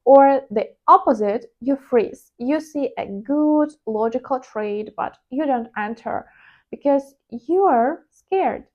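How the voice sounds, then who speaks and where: A woman's voice: high-pitched, expressive, slow pace, highs cut off. A woman with a Ukrainian accent, a native Ukrainian speaker, talking candidly and reflectively to her channel's viewers, with the odd hesitant "uh". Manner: candid, confessional, with hesitant fillers. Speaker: trading vlogger. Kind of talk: personal reflective monologue.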